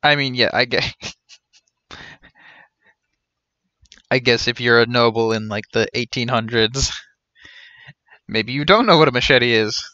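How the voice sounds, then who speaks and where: A man's voice: mid-range voice, a little slow, pauses often, pushed and bright, no echo. A man in his thirties giving casual live game commentary as a streamer, with wry, joking asides. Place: indoor room, webcam stream. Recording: dull lo-fi sound, music behind. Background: game music.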